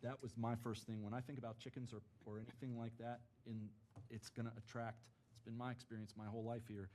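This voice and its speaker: A man, a lifelong local, speaking at a city planning meeting in an earnest, halting way full of restarts. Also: medium pitch, little pitch movement, darkish tone, a little hiss or hum. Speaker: board member. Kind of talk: public meeting discussion. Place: meeting chamber.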